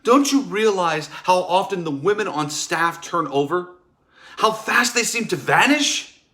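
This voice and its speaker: An actor playing a jealous, bitter, wounded husband, accusing and scornful in a theatrical period drama table read. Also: male voice, high-pitched, animated, unhurried, pushed and bright, heavily acted. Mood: bitter warning. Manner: accusing, emphatic delivery.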